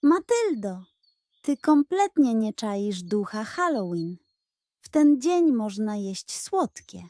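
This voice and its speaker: Storybook pug, voiced by a woman: greedy, lazy, huffy, pitched high and slow. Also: very animated, mellow, dry recording. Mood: indignant. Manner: whiny and huffy, high and overexcited. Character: greedy, lazy, indignant male pug dog.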